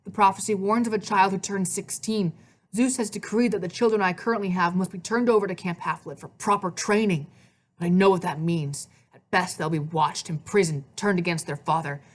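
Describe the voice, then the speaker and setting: Give this woman's portrayal of a brooding underworld god from a fantasy storybook. He is agitated and urgent, pleading with grave insistence in a lowish voice. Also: fairly even intonation, a little fast, close to an everyday voice. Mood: grim, insistent.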